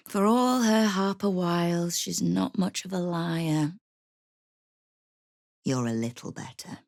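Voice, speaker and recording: A woman's voice: low voice, vocal fry, dry recording, heavily acted. An actress playing a shrewd, hard-edged female crime guild boss in a video game, with a dry, wry manner and veiled threats. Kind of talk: scripted video game voice acting.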